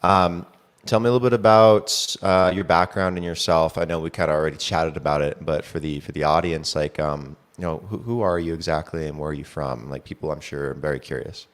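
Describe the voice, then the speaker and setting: A man hosting a podcast interview, relaxed and loose in his questions. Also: rumbling low, brisk. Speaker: podcast host. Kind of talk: casual interview.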